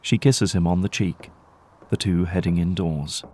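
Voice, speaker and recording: A man narrating an audio drama from a script in a measured, dramatic storytelling manner. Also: sits low, fairly even intonation, a little mellow.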